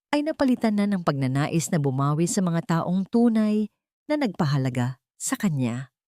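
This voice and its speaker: A woman's voice: on the low side, a little mellow, no echo, studio quality. A woman narrating a sentimental audio drama story from a script in a solemn, emotive way.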